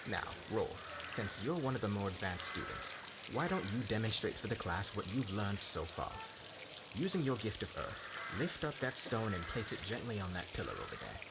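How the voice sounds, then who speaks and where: Man acting as kindly academy professor, formal teaching delivery, low-pitched, animated intonation, fantasy audio roleplay. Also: moderate pace, very noisy. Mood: formal, instructive. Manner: formal, instructive. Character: kindly male academy professor teaching young magic students.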